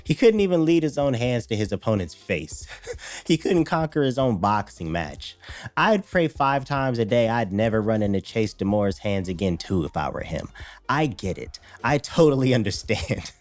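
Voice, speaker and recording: A man's voice: on the low side, big pitch swings, moderate pace. A man hosting a commentary channel, blunt, profane and mocking as he reacts to clips.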